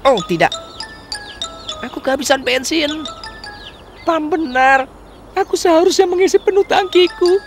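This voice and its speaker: A woman voicing a chatty, overconfident taxi car in a dubbed children's cartoon, speaking in a lively, expressive way. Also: sits high, a little slow, pauses often. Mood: worried. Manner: lively, expressive storytelling delivery. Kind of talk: cartoon dubbing. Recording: music playing underneath.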